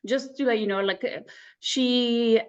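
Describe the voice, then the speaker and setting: A woman's voice: on the high side, fairly even intonation, a little slow, clean tone, lo-fi sound. A woman from Iraq, around forty, telling her personal story candidly and matter-of-factly as an interview guest. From Baghdad, Iraq. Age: 30s to 40s. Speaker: interview guest, real estate agent.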